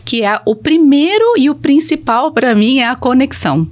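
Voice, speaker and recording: A woman's voice: sits high, animated, normal speed. Brazilian woman explaining things methodically. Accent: Brazilian Portuguese. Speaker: speech therapist, podcast guest. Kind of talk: conversational podcast explanation.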